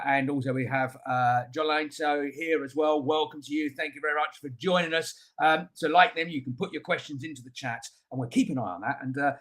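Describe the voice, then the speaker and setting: A man's voice: fairly high pitch, fairly bright tone. Upbeat man hosting a live webinar. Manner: enthusiastic, chatty, many fillers. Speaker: webinar host.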